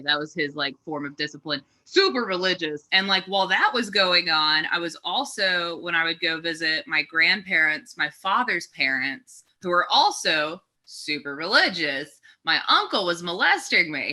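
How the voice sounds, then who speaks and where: Bright-voiced joking young woman comedian. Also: low voice. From Texas, USA. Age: 20s. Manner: chatty, darkly joking, many fillers like "like". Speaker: stand-up comedian, podcast guest. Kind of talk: casual conversational storytelling.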